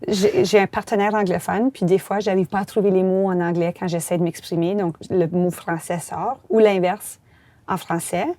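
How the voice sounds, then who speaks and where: Mellow Franco-Manitoban woman host. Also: fairly low pitch, steady tone, moderate pace, dry recording. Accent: Franco-Manitoban French. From Manitoba, Canada. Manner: frank, conversational, many fillers. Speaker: host and columnist. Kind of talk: casual interview conversation.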